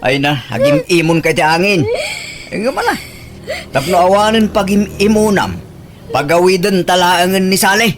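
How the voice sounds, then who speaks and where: A man with an Ilocano accent playing an exasperated husband who defends himself, protesting emphatically in a comedic radio drama. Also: high-pitched. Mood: defensive. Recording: faint background noise.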